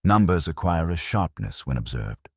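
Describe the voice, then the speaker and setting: Tart society matron read by a male narrator: arch, formal, low voice, lively lilt. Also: normal speed, breathy edge, no room sound, clean studio sound, exaggerated voice. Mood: tartly knowing. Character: prim, tartly witty society matron.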